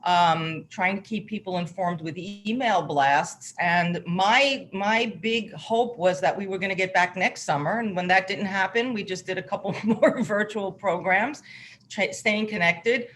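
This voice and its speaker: Woman, casual interview talk, orchestra organizer, medium pitch, audible noise behind the voice. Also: unhurried, a bit bright.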